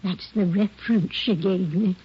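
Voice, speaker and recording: A woman's voice: medium pitch, moderate pace, slightly rough. An actress playing a prim, firm woman being questioned by police in 1940s radio drama, with precise, curt delivery. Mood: prim, defensive. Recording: muffled vintage 1940s radio recording.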